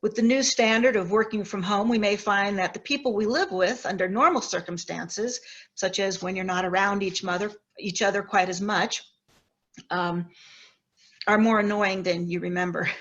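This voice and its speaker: A woman delivers a sermon as a guest preacher, drawing on personal stories. Her voice is of medium pitch for a woman. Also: normal speed, fairly bright tone, dull audio.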